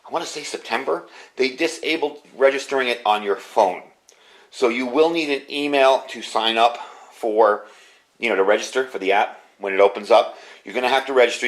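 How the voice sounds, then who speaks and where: A middle-aged American man talks as a hobbyist reviewer. His delivery is informal and conversational, with an enthusiastic tone and a mid-range voice. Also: medium pitch. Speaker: product reviewer, amateur radio hobbyist. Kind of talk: casual product review to camera.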